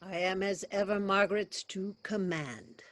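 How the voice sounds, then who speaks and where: A woman's voice: medium pitch, measured pace, fairly bright tone, no room sound, close to an everyday voice. Loyal nobleman adviser played by a woman, formal courtly verse, medium register, very little room. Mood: earnest, loyal. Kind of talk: classical verse stage acting. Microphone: video call.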